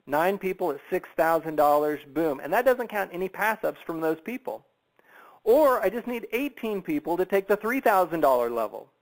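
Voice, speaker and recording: High-pitched man giving an emphatic sales pitch. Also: low-quality recording. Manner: persuasive, emphatic, conversational, with rhetorical questions. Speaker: sales presenter on a business opportunity conference call.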